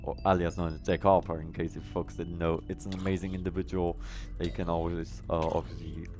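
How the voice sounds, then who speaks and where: Man, native German speaker, very low voice, live game streamer, wordy formal phrasing, music behind. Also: little pitch movement, brisk, a little mellow. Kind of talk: casual live-stream game commentary, chatting with viewers.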